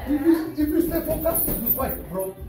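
A man's voice: extremely high pitch, very animated, normal speed. A man coaching boxing in a gym, encouraging his students, repeating short phrases and laughing often. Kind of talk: coaching during a gym session. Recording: echoey gym from far off with music and crowd noise. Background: music and people in the gym.

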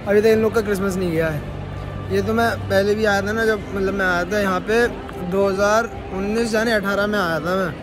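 A man's voice: high-pitched, steady tone, brisk, music in the background. A young man chatting casually to his phone camera as a vlogger, joking with friends in a hostel, on the street and in a mall. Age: young adult. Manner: joking, teasing friends, filming selfie-style. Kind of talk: casual vlog chat. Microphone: phone at arm's length.

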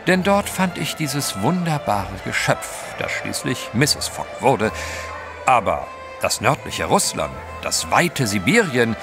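A debonair gentleman adventurer in a radio play. His speech is urbane and dryly witty, with a pitch that swings freely over a clear musical score. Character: debonair, wry English gentleman adventurer, devoted husband. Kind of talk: German audio drama acting.

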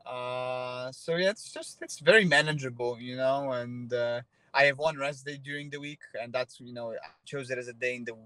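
A man's voice: medium pitch, normal speed, hardly any pauses, dry recording. A man in his thirties, a Polish native speaker, chatting in a casual interview from his car, with lots of fillers and restarts. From Poland. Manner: relaxed, many fillers and restarts. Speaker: interviewee, fighter. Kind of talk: casual video-call interview. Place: parked car. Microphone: phone held at arm's length.

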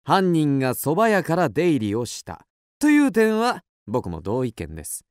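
Man playing a theatrical self-assured detective, animated delivery. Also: a little high, clean tone, dry recording, studio quality. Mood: confident, explaining. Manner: theatrical, deliberate explaining. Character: cool, self-assured young male amateur detective. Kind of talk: Japanese radio drama acting.

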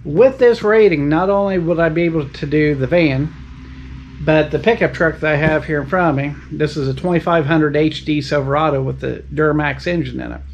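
A man's voice: a little high, fairly quick, highs cut off, slight background noise. A man hosting his own channel, casually demonstrating car ramps outdoors in a folksy, plain-spoken, step-by-step way. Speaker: channel host reviewing tools.

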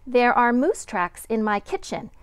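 A middle-aged woman, a kindergarten teacher, reading a picture book aloud to young children with deliberate, sing-song dramatic emphasis. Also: on the high side, very animated, clean tone, no echo.

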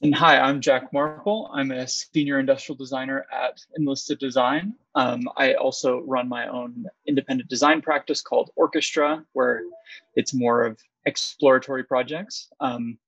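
Gravelly husky man on muffled call. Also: medium pitch, steady tone, fairly bright tone, no room sound. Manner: plain, brief, with "um" pauses. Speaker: senior industrial designer. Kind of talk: casual self-introduction.

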